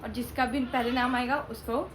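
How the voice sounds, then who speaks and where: A young woman chatting casually and excitably to camera, informal and a bit bossy with her companions, as a family vlogger. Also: a little high, normal speed. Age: young adult. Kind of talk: casual chat to camera in Hindi vlog. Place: at home, indoors. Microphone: phone propped up without a stand. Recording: noisy home phone recording.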